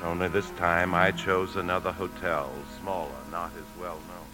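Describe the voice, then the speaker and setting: An actor playing a tense, desperate embezzling husband in a 1950s radio drama, speaking with urgent, confessional intensity. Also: male voice, deep voice, level tone, normal speed, lightly acted. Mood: haunted, narrating. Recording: old radio broadcast, faint music.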